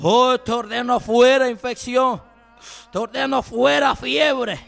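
Man praying fervently, shouting, pleading, slow drawn-out delivery, faint music behind. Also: very high-pitched, steady tone, vocal fry. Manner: shouting, pleading, repetitive commands. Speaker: preacher leading prayer. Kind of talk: fervent intercessory prayer with tongues.

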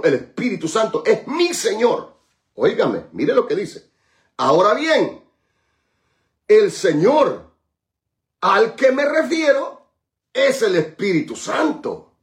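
Male preacher, high animated voice, call-and-response, drawn-out emphasis. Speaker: pastor. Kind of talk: sermon.

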